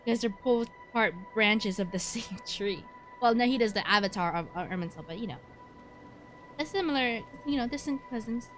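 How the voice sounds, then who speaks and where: A woman's voice: fairly high pitch, normal speed. A woman giving casual live game commentary, musing aloud about the story as she plays. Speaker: streamer playing a game live. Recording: game music behind. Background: game music.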